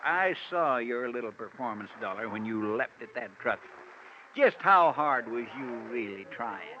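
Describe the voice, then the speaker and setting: An actor playing a suspicious, gullible country sheriff with a rural American accent, folksy, hesitant and blustering, in old-time radio style. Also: male voice, medium pitch, animated, moderate pace, sharp tone. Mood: accusing. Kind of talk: old-time radio comic character acting. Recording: noisy, muffled old radio recording.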